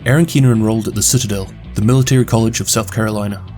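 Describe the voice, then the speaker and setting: A man with a New Zealand accent narrating a scripted lore recap in a brisk, steady delivery. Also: on the low side, faint music behind. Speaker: video game lore narrator.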